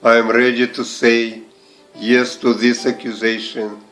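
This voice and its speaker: A man reading verse aloud in a measured recitation, in short deliberate phrases set apart by pauses. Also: medium pitch, little pitch movement, a bit bright, faint music behind. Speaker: poetry reader and translator. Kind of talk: slow, measured poetry recitation.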